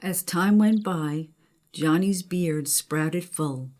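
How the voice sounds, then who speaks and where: A woman's voice: fairly low pitch, takes it slowly, darkish tone. An older woman, a grandmother, reading a children's storybook aloud.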